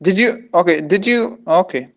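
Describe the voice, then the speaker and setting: Young man, 20s, notably high pitch, playful and halting, muffled recording. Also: lively intonation, gravelly, a bit bright. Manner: halting, repeats words, playful teasing. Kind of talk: casual group chat.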